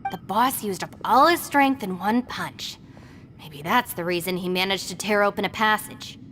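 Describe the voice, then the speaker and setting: A woman's voice: mid-range voice, moderate pace, a bit bright, lightly acted. Resigned young gang deputy played by a woman. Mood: resigned explaining. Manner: matter-of-fact, resigned. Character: level-headed, long-suffering young female gang deputy. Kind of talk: video game voice acting. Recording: faint background noise.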